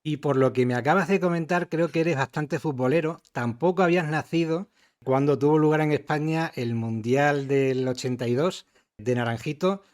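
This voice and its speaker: A middle-aged man from Spain, hosting an interview and asking long, friendly framing questions in a measured way. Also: fairly high pitch, a little mellow. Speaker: podcast host and interviewer.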